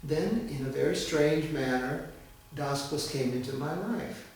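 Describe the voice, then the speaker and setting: Middle-aged man, interview guest, soft storytelling, gravelly texture, distant and echoey. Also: on the high side, slight background noise. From United States. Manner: gentle, unhurried, pauses with ums. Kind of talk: reflective storytelling interview answer. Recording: echoey room from far off.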